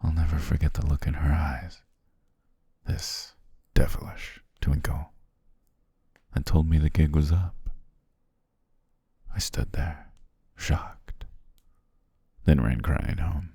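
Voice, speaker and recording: A man playing a courteous, self-amused demon king in an ASMR roleplay, speaking in a genteel, formal way with a husky, breathy voice. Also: fairly even intonation, takes it slowly, long pauses, dry recording, clean studio sound, full character voice. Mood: nostalgic, wistful. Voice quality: very deep, husky, gravelly, often breathy near whisper. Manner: leisurely, genteel, archly formal with soft chuckles.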